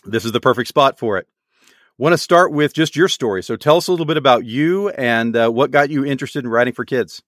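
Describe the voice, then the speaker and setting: A man's voice: mid-range voice, fairly quick, fairly bright tone, no echo, clean studio sound. A man hosting a book podcast, who reads an upbeat introduction and then asks questions with enthusiasm. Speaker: podcast host. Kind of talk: scripted intro then casual interview.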